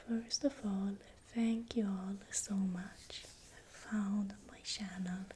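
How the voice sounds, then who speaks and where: A woman with a Swedish accent whispering breathily and deliberately in short, soft phrases, as the host of an ASMR video. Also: mid-range voice, a little hiss or hum. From Sweden. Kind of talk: whispered ASMR, explanatory narration.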